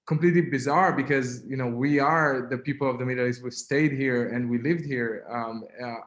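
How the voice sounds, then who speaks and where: Israeli man with halting, filler-heavy speech. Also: medium pitch, echoey room. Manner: halting, many fillers and restarts. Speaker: webinar guest speaker. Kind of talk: personal testimony in a webinar.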